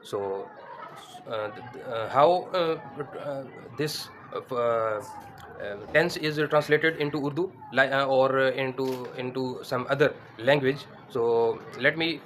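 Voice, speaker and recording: Teacher in his thirties, mid-pitched, hesitant lesson delivery, close mic indoors. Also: slow pace, fairly bright tone, slight background noise. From Pakistan. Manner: hesitant, many fillers and restarts. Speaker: English teacher. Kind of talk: spoken grammar lesson. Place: indoors against a plain wall.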